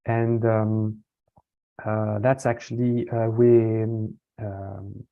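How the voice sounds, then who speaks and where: A man from France giving a casual online talk, relaxed and hesitant, with many fillers and restarts. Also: fairly low pitch, drawn-out words, vocal fry. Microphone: computer mic on a video call. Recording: dull lo-fi video call audio.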